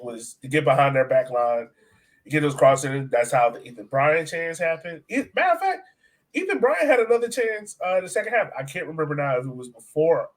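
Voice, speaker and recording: A man co-hosting a soccer fan podcast, talking in a casual, excitable way. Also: medium pitch. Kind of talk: casual sports podcast chat.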